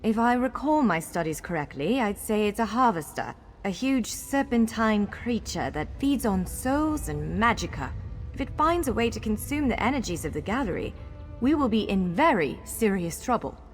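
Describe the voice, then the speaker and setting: A woman's voice: mid-range voice, sing-song, moderate pace, close to an everyday voice. A woman playing a courteous, wry elf scholar guiding someone in a video game, articulate and formal yet playful. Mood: concerned. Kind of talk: video game character dialogue. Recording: long hall reverb over music.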